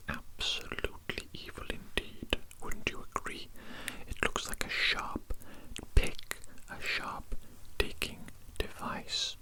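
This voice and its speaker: A woman's voice: natural voice, poor audio. A woman playing a smug, scolding evil doctor in a whispered, theatrical ASMR roleplay, condescending and repeating phrases. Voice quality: breathy unvoiced whisper. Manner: slow, repetitive, condescending, theatrical. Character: smug, scolding evil mad doctor, vain about their genius.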